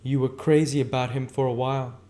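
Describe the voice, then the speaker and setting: A man reads as an eager, gossipy young woman in a novel, in a raised pitch. She sounds confiding and insistent, full of opinions. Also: level tone, brisk, warm tone, close to an everyday voice. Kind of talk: audiobook character dialogue.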